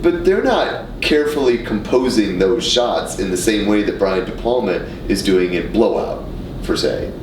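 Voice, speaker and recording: Dude w lowish voice, casual podcast talk, repeats himself, echoey n noisy. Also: male voice, animated, far from the mic. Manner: halting, repeats words as he builds a point. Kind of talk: casual discussion. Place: indoor radio studio. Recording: roomy, noisy, off-mic studio recording with rumble.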